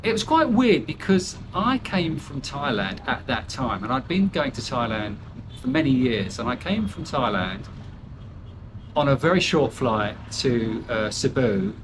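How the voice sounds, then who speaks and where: A man's voice: medium pitch, sing-song, a little slow. Rambling older British man, noisy background. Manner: rambling, candid, self-deprecating, with frequent fillers and restarts. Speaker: interview guest. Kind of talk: casual chat.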